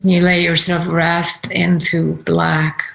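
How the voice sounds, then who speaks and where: A woman leading a guided meditation, giving gentle instructions in short phrases with pauses. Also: on the low side, little pitch movement, vocal fry. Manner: unhurried, pausing between short phrases.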